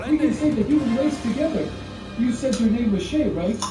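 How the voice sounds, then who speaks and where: A man voicing a hot-headed, self-righteous young male hero in a storybook, declaiming pompously and forcefully. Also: extremely high pitch, lively intonation, brisk, distant mic, voice pushed up. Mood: coaxing, smug. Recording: roomy echo, off the mic, music.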